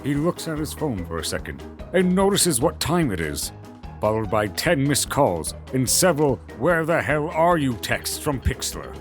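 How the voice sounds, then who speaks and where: A man reads scripted fantasy narration. His pitch is average but moves a great deal, giving the story an animated shape. Faint music plays behind him. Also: a little slow.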